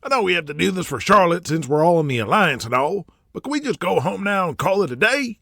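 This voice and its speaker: A man's voice: high voice, very animated, fast talker, gravelly, pushed and bright, no room sound, clean studio sound, pitched above the performer's own voice, exaggerated voice. A man voicing an impatient, graying television production chief who grumbles wearily in an audio drama. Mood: impatient, weary.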